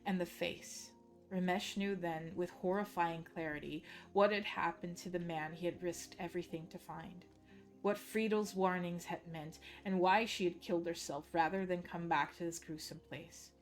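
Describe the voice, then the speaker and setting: A Dutch woman, a native Dutch speaker, reading game lore aloud as a hushed, measured, suspenseful story. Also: fairly low pitch, steady tone, faint music behind. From Netherlands.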